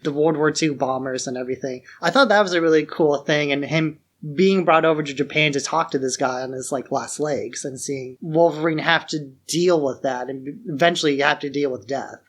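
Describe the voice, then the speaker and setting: A man's voice: a little high, fairly even intonation, fairly quick, few pauses, a bit bright. A man co-hosting a podcast, giving his opinions on films in an informal, conversational way. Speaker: podcast co-host. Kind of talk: casual film discussion podcast.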